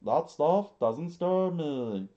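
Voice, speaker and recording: A man voicing a fiery young boy who wants to fight, shrill and defiant, on a dull lo-fi recording. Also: lively intonation, very slow, warm tone.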